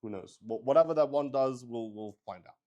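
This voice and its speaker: A man's voice: mid-range voice, a little fast, a little mellow, dull audio. A man hosting a solo tabletop roleplay stream, improvising the narration as he thinks aloud and repeats phrases. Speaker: game master and solo player, show host.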